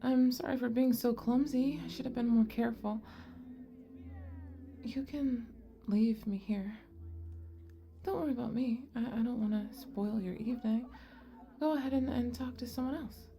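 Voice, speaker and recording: A woman playing a shy, sweet, nerdy young goblin girl in an ASMR romance roleplay, speaking softly and hesitantly with bashful stammers. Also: on the high side, normal speed, pauses often, natural voice. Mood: hesitant, touched.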